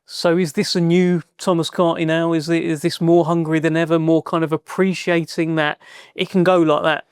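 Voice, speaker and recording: A man's voice: a little high, little pitch movement, moderate pace, clean tone, no room sound, clean studio sound. A man interviewing a boxer, asking friendly, prompting questions. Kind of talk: sports interview.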